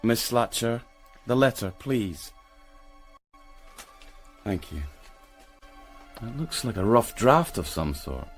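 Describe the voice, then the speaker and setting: An actor playing a mild, polite, apologetic but persistent police sergeant in a radio drama. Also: male voice, fairly low pitch, very animated, slow pace, pauses often, soft background music. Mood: probing.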